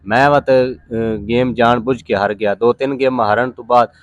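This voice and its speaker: A man's voice: medium pitch, steady tone, unhurried, no echo, muffled recording. Pakistani Punjabi man, casual and dry-sounding. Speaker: interview guest. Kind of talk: casual conversational storytelling.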